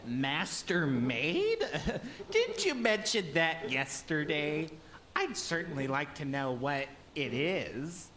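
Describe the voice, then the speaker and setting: A woman's voice: deep voice, big pitch swings, slow, a crowd in the background, full character voice. A woman playing a cocky, nonchalant young lad, the easygoing hero of a fairy tale radio play. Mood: feigned innocence.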